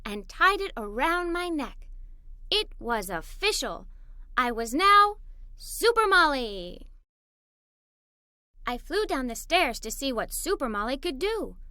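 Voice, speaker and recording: Woman voicing a bright, high-pitched, excited little girl storyteller. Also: no echo, clean studio sound, exaggerated voice. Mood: imaginative. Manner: expressive, enthusiastic storytelling. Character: Molly, excited adventurous little girl telling her day. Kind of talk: children's read-aloud story narration.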